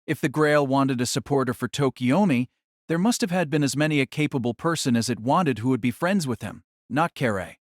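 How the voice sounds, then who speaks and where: A man narrating a novel in a solemn, theatrical style with deliberate phrasing. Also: mid-range voice, lively intonation, no room sound, studio quality. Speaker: audiobook narrator. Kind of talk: dramatic scripted novel narration.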